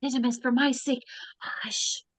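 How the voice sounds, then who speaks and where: A woman playing a high, earnest teenage heroine. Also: little pitch movement, a little slow, no room sound. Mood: hushing. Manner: earnest, urgent. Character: determined teenage girl on a rescue quest. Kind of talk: amateur dramatic reading. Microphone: video call.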